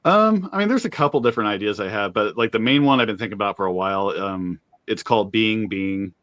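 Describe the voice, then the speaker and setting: A man's voice: lowish voice, animated, quick pace, a bit bright, dry recording, muffled recording. A man introducing himself on a video call, thoughtful and hesitant with frequent fillers. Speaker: new guest. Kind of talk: casual chat.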